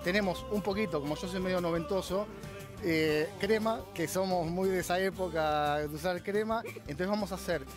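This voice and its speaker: A man's voice: high-pitched, moderate pace, over music. Argentine chef explaining while he cooks. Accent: Argentine Spanish. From La Plata, Argentina. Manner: explains while cooking, hesitant restarts. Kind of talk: cooking demonstration chat. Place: TV studio kitchen.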